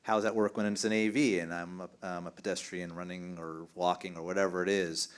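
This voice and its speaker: A man on a conference panel speaks with a very deep, flat voice and little rise or fall. He talks casually, as in conversation, and leans on everyday examples. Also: brisk, clear, resonant tone.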